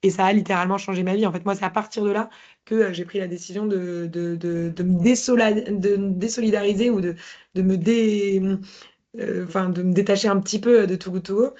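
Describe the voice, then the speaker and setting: A woman's voice: medium pitch, steady tone, a little fast, dark tone, dull audio. A woman speaking conversationally as an interview guest, candid and reflective, often searching for words. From Paris, France. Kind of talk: conversational personal testimony.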